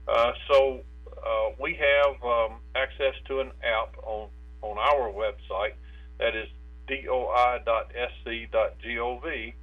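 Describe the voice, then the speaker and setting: An American man speaks over a thin, tinny telephone line with a mid-range voice. He gives practical advice as an interview guest, in a halting way, often doubling back on his words. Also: mid-range voice. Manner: halting, repeats words and stumbles mid-phrase. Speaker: state insurance director, interviewed guest. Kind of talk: phone interview giving preparedness advice. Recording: tinny narrow phone line with hum.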